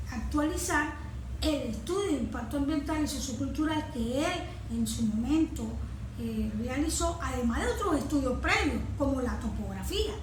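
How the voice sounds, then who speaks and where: A Venezuelan woman explaining like a consultant being interviewed, haltingly, often checking that the listener follows. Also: high-pitched. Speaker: environmental consultant, guest. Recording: roomy echo, some background noise.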